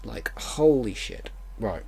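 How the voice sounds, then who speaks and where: A man hosting an online video, reading fan fiction aloud haltingly with exasperated, disbelieving commentary. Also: lowish voice, very animated, creaky, dark tone, husky.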